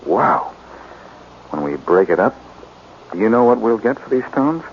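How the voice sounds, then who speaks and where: An actor playing a breezy, wisecracking amateur sleuth with an American accent in a 1940s radio detective drama, with a flippant, teasing manner. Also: male voice, fairly low pitch, very animated, unhurried. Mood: playful. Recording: dull, noisy 1940s radio recording.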